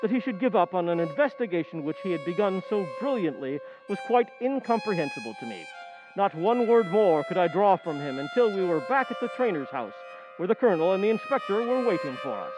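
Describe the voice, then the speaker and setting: A man playing a detective's loyal, earnest companion, telling the story in an old-time radio drama style, measured and slightly puzzled. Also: sits high, very animated. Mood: narrating, earnest. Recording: dull lo-fi sound, music underneath.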